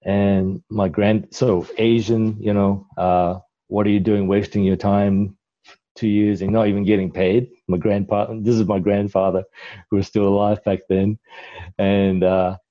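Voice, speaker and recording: A man's voice: fairly low pitch, flat delivery, measured pace, dull audio. A middle-aged man from Malaysia, a guest in a casual interview, telling his story in a rambling way with lots of fillers and restarts.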